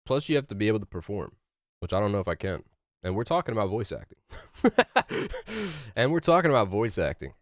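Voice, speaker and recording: A young male streamer with a low, medium-deep voice talks loosely to his chat while he plays, wandering from thought to thought with plenty of "like" and restarts. The sound is dry and close, as if right into a desk mic. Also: sing-song, a little fast, pauses often, no room sound. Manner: rambling, filler-heavy, laid-back. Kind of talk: casual livestream chat while gaming.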